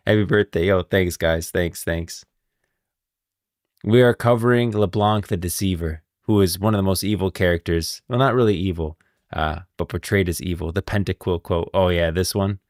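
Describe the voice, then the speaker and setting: Deep-voiced, dry-miked man streaming casually. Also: normal speed, darkish tone, no room sound. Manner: informal, slangy, thanks viewers often. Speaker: livestream host. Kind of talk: casual livestream chat and reaction commentary.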